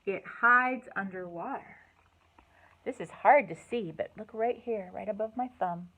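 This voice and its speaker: A woman hosting a children's storytime, reading aloud and chatting in an animated, encouraging way with simple words for young listeners. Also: medium pitch, measured pace. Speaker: children's librarian and storytime host.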